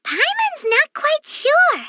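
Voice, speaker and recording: Tiny game fairy, extremely high squeaky voice, very swoopy intonation, cute, childlike, excitable. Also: female voice, slow, no echo, clean studio sound, full character voice. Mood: excited, surprised. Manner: cute, speaks of self in third person. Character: small childlike fairy companion, chirpy, excitable, speaks in third person. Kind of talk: video game character voice lines.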